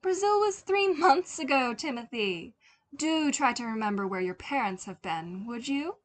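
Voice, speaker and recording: A woman's voice: high-pitched, lively intonation, normal speed, pitched above the performer's own voice. A woman voicing an elegant, haughty mother in a story, prim and lightly teasing as she talks to her young son.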